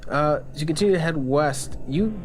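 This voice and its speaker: A man's voice: a little high, brisk, a little hiss or hum, poor audio. A man narrating a tabletop game as game master in a conversational, descriptive way.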